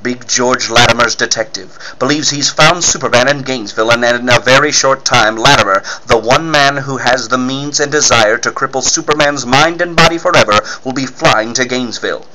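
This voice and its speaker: A man's voice: medium pitch, a little fast, hardly any pauses, crowd noise, rough recording. A man reading scripted narration as a dramatic 1940s-style radio serial announcer.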